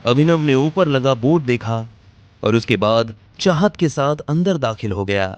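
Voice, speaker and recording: A man's voice: medium pitch, expressive, measured pace, slight background noise. A man narrating an audio drama in a scripted, dramatic storytelling style.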